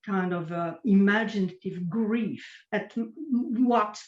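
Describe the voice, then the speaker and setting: A woman whose first language is French, a guest writer answering interview questions in a halting way, often searching for words. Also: mid-range voice, roomy sound, lo-fi sound. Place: home video call. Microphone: computer microphone on video call.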